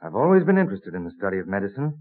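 A colonial plant collector in an old radio play. He is plain-spoken, modest and enthusiastic, with lively swings of intonation. The sound is narrow and muffled. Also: lowish voice, racing. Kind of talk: vintage radio drama acting.

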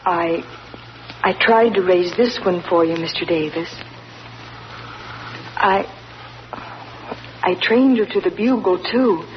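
A remorseful young country woman, soft and stammering, muffled old radio sound. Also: on the low side, slow pace, pauses often. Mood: earnest. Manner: hesitant, stammering, soft-spoken. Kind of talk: 1940s radio acting. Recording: dull 1940s radio broadcast, faint hiss.

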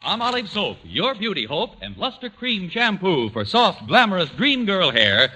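A man's voice: high voice, wildly swooping pitch, moderate pace, bright, forceful tone, a little hiss or hum. A man reading scripted narration as the announcer of a vintage radio show. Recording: dull old radio broadcast recording. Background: music.

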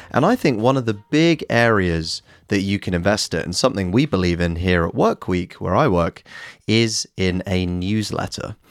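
Casting: male podcast host, lower register, lively melodic swings, instructive and direct, scripted solo delivery. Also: dry recording, clean studio sound. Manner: addresses listeners directly, explanatory, rhetorical questions.

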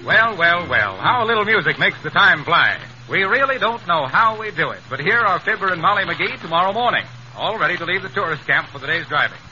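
Bright old-time radio announcer man, muffled recording. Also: high voice, very animated, a little fast, hardly any pauses, soft background music. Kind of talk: 1930s radio show announcing.

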